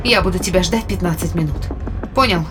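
A woman's voice: lowish voice, fairly quick, natural voice. A woman acting a defiant, loyal fighter who reports to her captain in an audio drama, with music behind her. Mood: defiant, resolute.

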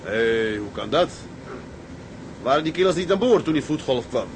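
An actor playing a righteous, solemn ship's captain with a terse way of talking in a maritime drama. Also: male voice, medium pitch, animated, normal speed, a little hiss or hum, natural voice. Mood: accusing. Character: grave, righteous ship's captain with moral conscience. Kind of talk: dramatic seafaring acting.

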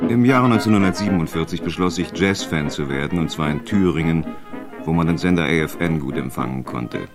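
Bass-register male reader of memoir, clear trombone-like music under the voice. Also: brisk. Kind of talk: scripted autobiographical reading. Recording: brass music clearly behind voice.

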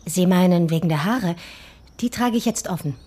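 An actress playing an eager amateur sleuth in a radio crime drama, chatty and keen. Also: female voice, on the low side, moderate pace, mellow, lightly acted.

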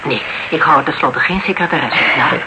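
A noisy radio play recording of a man playing a dogged private investigator. The voice is fairly high for a man, clipped and dry, with a wry, questioning manner. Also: a little fast, natural voice. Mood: wry, guarded. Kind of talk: Dutch radio drama acting.

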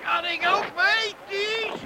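An actor playing a stubborn, feisty old villager in a radio play. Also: male voice, extremely high pitch, steady tone, slow, a loud crowd, heavily acted. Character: stubborn, feisty old man wanting to join. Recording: loud storm and outdoor noise.

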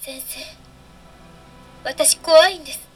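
An actress playing a frightened, uncanny young fashion model in therapy, in a radio drama. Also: female voice, sits high, takes it slowly. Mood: anxious, fearful.

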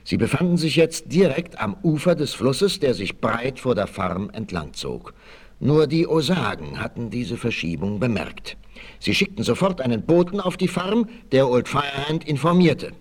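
A man's voice: medium pitch, sing-song, a little slow, slightly rough. A man narrating a 1960s adventure audio play in a dramatic, suspenseful, emphatic scripted style. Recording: noisy 1968 vinyl transfer.

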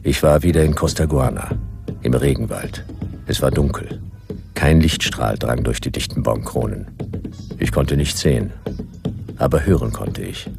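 The bottomless, creaky-voiced private eye of a late-night sci-fi radio serial, terse, weary and deadpan. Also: male voice, very deep voice, normal speed, pauses often, music in the background. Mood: dry, brooding. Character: laconic, world-weary male private detective of the future. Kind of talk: first-person radio drama narration.

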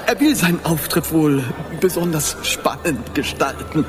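A man's voice: a little high, lively intonation, unhurried. An actor playing a sly, fawning male court astrologer in a radio play, oily and soothing with exaggerated delivery. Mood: nervous, evasive. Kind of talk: German radio play acting. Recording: loud music and crowd behind.

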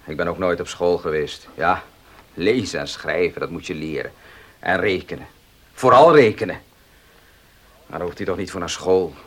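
An actor in a Dutch radio play playing a proud, domineering farmer and husband who speaks emphatically. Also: male voice, deep voice, normal speed, long pauses, fairly bright tone. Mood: jovial, assertive. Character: proud, domineering gentleman farmer, loving but stubborn husband.

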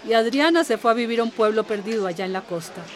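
An actress playing a weary, worried older guardian in a radio drama, plaintive and full of sighing exclamations. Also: female voice, fairly high pitch, expressive, fast, a little hiss or hum, lightly acted.

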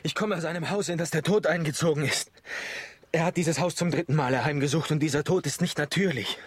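An actor playing a desperate, pleading young man in love in a radio drama, with a husky voice. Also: fairly high pitch, fairly quick. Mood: alarmed.

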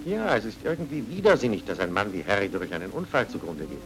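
A smooth, evasive film-noir gentleman with a low voice. His intonation rises and falls animatedly as he feigns concern, theatrical and probing, with faint music behind. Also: sing-song, fast talker, low-quality recording. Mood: troubled, guarded. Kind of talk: German-dubbed film noir dialogue.

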